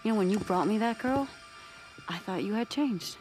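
An actress with an American accent playing a tough, sarcastic and distrustful survivor in a video game. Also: female voice, mid-range voice, animated, moderate pace, darkish tone, faint music behind, lightly acted. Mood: suspicious, angry. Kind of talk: naturalistic video game acting.

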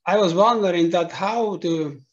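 A man's voice: high-pitched, normal speed, lo-fi sound. A middle-aged man, hosting an interview over a video call and phrasing his questions carefully and hesitantly. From Finland. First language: Finnish. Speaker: interviewer and channel host.

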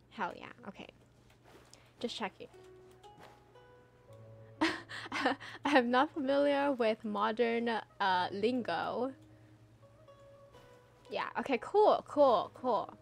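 A woman's voice: a little high, expressive, slow, vocal fry, pauses often, soft background music. A young woman streaming a game, chatting casually and animatedly with her viewers, laughing often and trailing off into filler words. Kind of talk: casual gameplay chat with chat readers.

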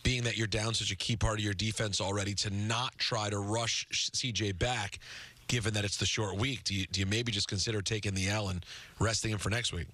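A man's voice: fairly low pitch, fairly even intonation, a little fast, bright, forceful tone, dry recording. An American man co-hosting a sports radio interview in a studio, speaking into a close microphone. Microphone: close studio microphone with headset.

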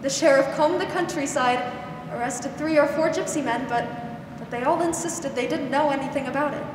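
A young woman acting a gentle, weary, protective older aunt in a 1940s-style radio drama, soothing and hesitant. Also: high-pitched, moderate pace, lightly acted. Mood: hesitant, sorrowful. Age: young adult. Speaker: student actor. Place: school theatre stage. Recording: echoey hall, noisy background.

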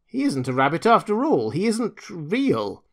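Wild rabbit, male, for a storybook, man reading: brash, exclamatory, teasing, lifted pitch. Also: huge pitch swings, unhurried, heavily acted. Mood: disgusted. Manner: taunting, blunt, exclamatory. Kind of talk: storybook character voice.

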